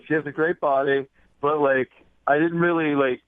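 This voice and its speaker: A man's voice: a little high, steady tone, moderate pace, no echo. A man chatting casually and hesitantly as a radio show caller, peppering his talk with "like", over a phone line.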